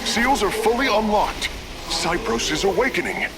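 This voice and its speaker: Animated alien engineer, game character. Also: male voice, sits high, normal speed, very noisy. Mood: excited. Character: eager male alien engineer reporting station systems. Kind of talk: dramatic video game voice acting.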